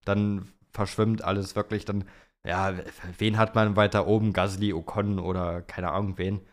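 Young male podcast host, low voice, casual and slangy chat. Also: little pitch movement, normal speed. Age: young adult. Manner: informal youth slang. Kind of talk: casual chat about motorsport, answering listener questions.

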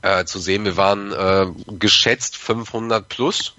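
A man with a hoarse voice, chatting casually as a podcast guest and rambling with many fillers. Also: on the low side, steady tone, a little slow, bright, forceful tone, no room sound, dull audio. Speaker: podcast guest, fan club member. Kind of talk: casual chat.

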